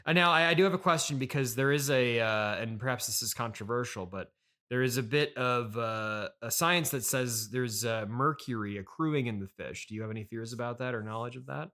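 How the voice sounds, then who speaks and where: A man with a medium-pitched voice interviews casually as a podcast host. He plays the straight man, probing with follow-up questions and hesitating as he feels out his phrasing. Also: a little fast, bright, forceful tone. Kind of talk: casual improvised comic interview.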